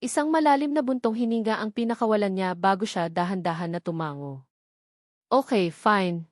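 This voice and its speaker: A woman narrates a novel in the first person, working from a script. Her voice sits at a middle pitch, and the sound is dry with little room in it. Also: fast talker, a little mellow, no room sound. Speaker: audiobook narrator.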